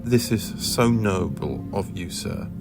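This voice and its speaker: A man's voice: fairly low pitch, lively intonation, slow pace, over music. A man acting a timid, grovelling underling in an audio drama, hesitant, stammering and deferential. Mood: grateful, servile.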